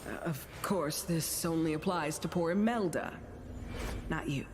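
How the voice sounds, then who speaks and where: A woman playing a mysterious, wry storyteller in a video game, narrating cautionary fables in a measured, theatrical way. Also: sits low, noisy background. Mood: brooding, cautionary. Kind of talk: dramatic scripted game narration.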